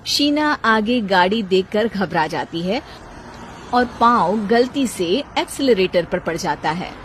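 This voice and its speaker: A woman's voice: medium pitch, lively intonation, slow pace, slight background noise, poor audio. A woman reading scripted narration for a comic cartoon story, with a storyteller's delivery.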